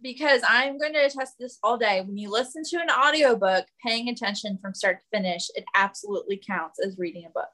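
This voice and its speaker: A woman's voice: mid-range voice, fairly quick, few pauses, pushed and bright. A woman in casual podcast chat, stating her opinions with emphasis. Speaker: podcast panelist.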